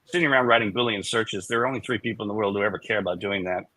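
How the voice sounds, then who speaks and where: Bright, low-pitched man, dry close sound. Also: very fast, no room sound. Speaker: chief executive being interviewed. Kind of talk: conversational business interview.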